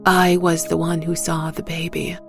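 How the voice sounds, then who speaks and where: Creaky-voiced woman narrating dark fiction. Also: fairly low pitch, monotone, moderate pace, over music. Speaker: storyteller, first-person narrator of audio fiction.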